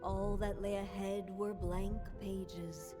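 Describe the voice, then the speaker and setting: A woman voicing a gentle storybook narrator in a video game, telling the tale softly. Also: medium pitch, level tone, big-room sound, over music, lightly acted. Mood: storytelling. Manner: slow, soft storytelling. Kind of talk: scripted narration.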